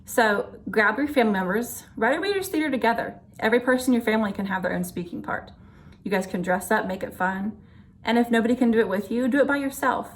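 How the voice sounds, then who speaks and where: A woman's voice: a little high, fast talker. A woman teacher giving casual, encouraging instructions to children. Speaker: teacher presenting an activity.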